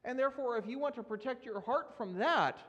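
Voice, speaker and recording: A man's voice: very high-pitched, fairly quick, fairly bright tone, dull audio. A man preaching a sermon, earnest and emphatic as he works through scripture readings. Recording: long reverb of a large hall.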